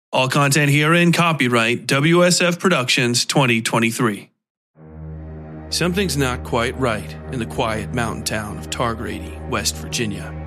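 A man reading scripted dark fiction as the narrator. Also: medium pitch. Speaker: narrator and writer. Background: guitar music.

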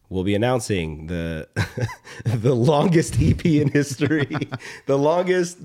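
Low-voiced, slightly hoarse young man chatting. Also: mellow. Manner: rambling, halting, swears casually. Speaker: podcast host. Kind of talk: casual chat.

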